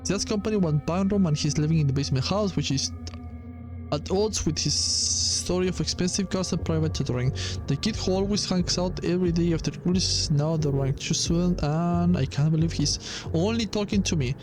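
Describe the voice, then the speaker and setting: High-voiced man reading a game aloud. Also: fairly quick, over music, low-quality recording. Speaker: gamer playing and narrating a demo. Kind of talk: reading game dialogue aloud with casual commentary.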